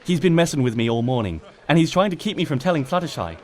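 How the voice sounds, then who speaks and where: A flustered, indignant young mare from a cartoon, acted out by a male reader. The pitch is pushed up and swings wildly, with an exasperated edge for storybook comedy. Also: big pitch swings, fast talker. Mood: urgent. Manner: stammering and muttering, snapping back at a narrator.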